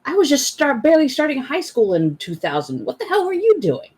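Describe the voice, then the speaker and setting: A woman in her thirties chatting casually as a guest on a live stream, blunt and animated, often cutting in on the others. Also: sits high, a little fast. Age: 30s. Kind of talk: casual chat.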